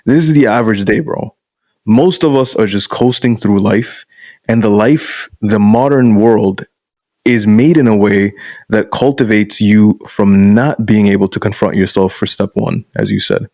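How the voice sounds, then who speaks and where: A man's voice: on the low side, measured pace, a little mellow, no room sound, studio quality. A young man who grew up in Canada, a guest coach talking frankly and casually in a podcast conversation and often calling the listener "bro.". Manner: frank, blunt, peppers speech with "bro".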